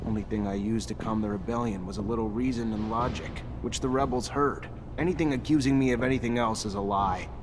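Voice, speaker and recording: A man's voice: fairly low pitch, a little fast, hardly any pauses, background noise, lightly acted. A man playing an earnest, principled lieutenant in a video game, formal and defensive in his speech. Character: earnest, principled young male soldier lieutenant. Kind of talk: dramatic video game dialogue.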